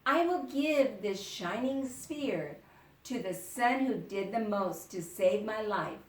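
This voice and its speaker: A woman voicing a grateful, dignified father in a children's folktale, in a measured, solemn way. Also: mid-range voice, expressive. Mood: announcing. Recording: roomy echo, distant microphone.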